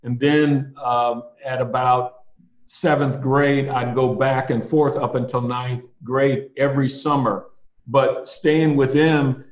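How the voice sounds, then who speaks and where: A man in his sixties, an attorney guest, recounting his childhood in a measured, thoughtful way. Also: medium pitch, fairly even intonation. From USA, Ohio and Alabama. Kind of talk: reflective storytelling interview. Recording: dull, roomy recording.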